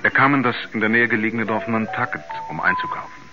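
A male actor as a sober investigator with a low voice, narrating a mystery in a radio play. He is matter-of-fact and probing. The recording is dull, with loud music behind. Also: fast, natural voice. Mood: grave, factual. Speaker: narrator and interviewer. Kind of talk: 1970s science-fiction radio drama narration.

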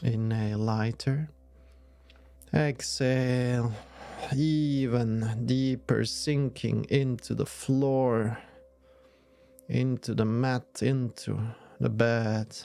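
A man leading a guided yoga nidra meditation in a soothing, measured way with long pauses. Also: medium pitch, fairly even intonation, creaky, mellow.

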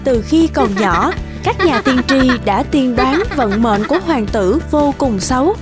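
High-voiced woman narrating a fairy tale. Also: expressive, measured pace. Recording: music behind.

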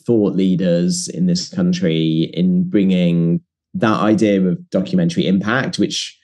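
Interview guest, male, deep mellow voice, thoughtful and earnest, casual fillers. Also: fairly even intonation, unhurried. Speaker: documentary producer, guest.

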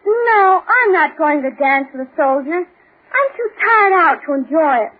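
Very high, plaintive young woman. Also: lively intonation, unhurried. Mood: whiny, weary. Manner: plaintive, complaining. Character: tired, run-down, mopey young woman in radio ad. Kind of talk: 1940s radio advertisement acting. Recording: muffled, lo-fi old radio recording.

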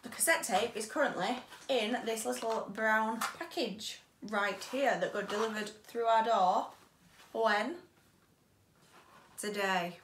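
A woman's voice: on the high side, sharp tone. A young woman with a British accent hosting a chatty unboxing vlog in a playful, informal way. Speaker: vlog host. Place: small home bedroom, indoors. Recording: roomy, distant mic, audible noise.

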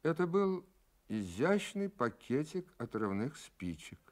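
An actor playing the storyteller, reading scripted narration for a detective radio play. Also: male voice, fairly high pitch, big pitch swings, takes it slowly, soft background music. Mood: measured, suspenseful. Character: male storyteller of a detective tale.

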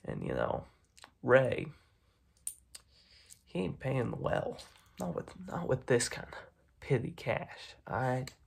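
A young man voicing a smug, menacing mob boss in a soft-spoken, half-whispered ASMR roleplay full of gangster slang. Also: medium pitch, huge pitch swings, slow, creaky, long pauses, husky, exaggerated voice. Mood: persuasive. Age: young adult. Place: small dark room indoors.

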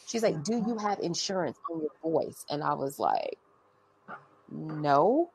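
A woman being interviewed on a radio talk show, speaking in a calm, measured and soft-spoken way. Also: sits low, creaky, breathy edge, rough recording. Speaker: guest.

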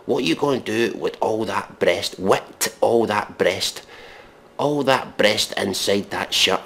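A man giving a mock dramatic reading in deadpan, with exasperated, scornful, sweary commentary. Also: fairly low pitch, slow pace, gravelly. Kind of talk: deadpan recitation of pop lyrics with sweary commentary.

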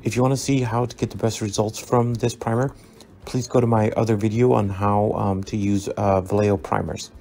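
A man narrating a scale model build as a relaxed tutorial, easygoing and full of ums and uhs as he recommends each step. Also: on the low side, fairly quick, gravelly. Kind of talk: relaxed instructional voice-over. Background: soft background music.

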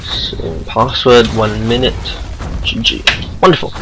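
A man with a Singaporean accent giving casual amateur commentary over a video game match, excitable and rambling. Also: mid-range voice, unhurried, background noise. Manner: excitable, rambling asides, self-deprecating.

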